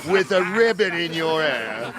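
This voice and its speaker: Slow, scornful old captain. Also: male voice, a little high, big pitch swings, noisy background. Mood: mocking. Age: older. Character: old garrison captain, indignant, dryly scornful. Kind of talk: video game acting. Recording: rowdy crowd behind the voice.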